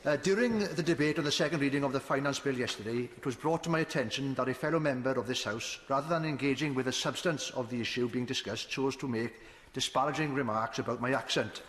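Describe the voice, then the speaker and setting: A man's voice: medium pitch, a bit bright. A man with a Welsh accent, a member of parliament earnestly raising a point of order in a formal parliamentary speech. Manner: earnest, measured, slightly hesitant at the start. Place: large debating chamber. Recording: echoey chamber with murmuring crowd.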